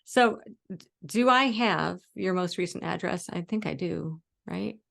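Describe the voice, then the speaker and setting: A woman hosting a casual chat, effusive and informal, with halting phrasing. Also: on the low side, vocal fry, a bit bright. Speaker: host, author.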